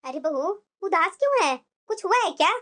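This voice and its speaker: Cartoon mother-in-law, soft-spoken peacemaker, very high voice, woman voicing her. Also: sing-song, moderate pace, over music. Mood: soothing. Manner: gentle, scolding softly. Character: kindly older mother-in-law, patient peacemaker. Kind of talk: animated family drama voice acting.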